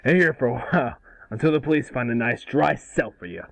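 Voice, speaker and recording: A man's voice: medium pitch, a little fast, gravelly, soft background music, natural voice. A man playing a cocky, brash ten-year-old boy hero in a cartoon table read. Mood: smug.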